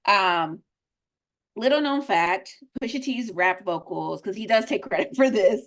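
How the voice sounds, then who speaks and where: A woman whose first language is Spanish chats casually as a podcast co-host, her voice sitting in a middle pitch. She talks loosely and freely, leaning on ums and likes as she goes. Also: expressive, a little slow, fairly bright tone. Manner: chatty, many ums and likes. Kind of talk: casual music chat.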